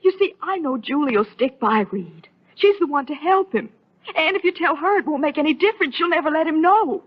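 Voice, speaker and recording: A woman's voice: sits high, animated, fairly quick. An American woman playing an earnest, outspoken, devoted woman in an old-time radio soap opera, emotional and insistent. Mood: pleading, insistent. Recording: dull, lo-fi vintage radio recording.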